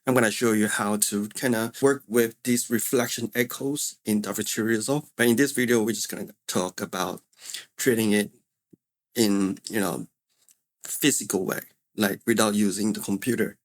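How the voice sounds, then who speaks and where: A man with a fairly low voice talks through a tutorial informally. He explains things casually and repeats points for emphasis, speaking into a studio mic in a home room. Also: normal speed. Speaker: audio tutorial presenter. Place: small untreated home room with furniture. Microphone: close studio microphones, condenser then dynamic.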